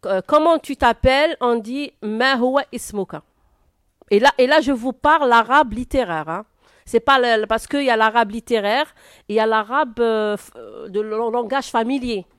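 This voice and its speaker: Hesitant woman, clear voice. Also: on the high side, no echo, studio quality. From Algeria. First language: Arabic. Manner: hesitant, frequent filler pauses. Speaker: interview guest. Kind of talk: answering a class's questions.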